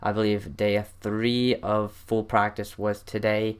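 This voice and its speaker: An American man hosts a casual sports interview. His voice is clean and smooth, sitting slightly on the low side, as he puts questions to his guest. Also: level tone, slow. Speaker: podcast host, interviewer.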